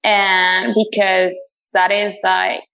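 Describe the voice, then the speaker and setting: Woman guest from Latin America, gravelly chatty delivery full of fillers. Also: mid-range voice, little pitch movement, drawn-out words, fairly bright tone, poor audio. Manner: chatty, many fillers and restarts, laughs often. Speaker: radio show host, guest. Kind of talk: casual interview answers.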